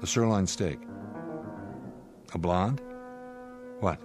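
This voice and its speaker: Stern, deep-voiced American spaceship commander. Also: male voice, expressive, slow. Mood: probing. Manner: authoritative, clipped, lecturing. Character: stern, steady male spaceship commander, stranded astronaut. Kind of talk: radio drama acting. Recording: dramatic music behind the voice.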